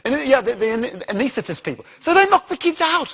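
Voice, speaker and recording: A man's voice: very high voice, very animated, brisk, dull audio. A man with an Australian accent giving an interactive conference talk, questioning the audience as he goes. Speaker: conference speaker.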